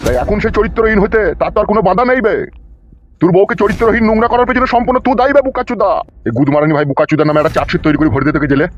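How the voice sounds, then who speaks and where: Man acting a fast, crude, bullying police officer. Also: high voice, expressive, lo-fi sound. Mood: scolding, abusive. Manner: scolding, crude, domineering. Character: foul-mouthed, bullying male police officer. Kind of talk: Bengali comedy cartoon dubbing.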